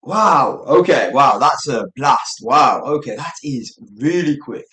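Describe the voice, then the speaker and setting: Slow, lively Englishman with a roomy echo. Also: medium pitch. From England. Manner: rambling, chatty, thinking aloud. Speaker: English teacher hosting a live stream. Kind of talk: casual unscripted self-introduction to viewers.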